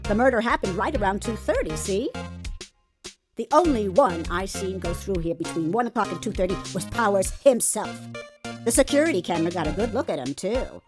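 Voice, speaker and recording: A woman's voice: medium pitch, expressive, a little fast, close to an everyday voice. A woman voicing a gossipy, folksy, opinionated elderly security guard in a video game. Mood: gossipy, smug. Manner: folksy, gossipy, ungrammatical turns of phrase. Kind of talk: scripted game character voice-over. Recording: background music throughout.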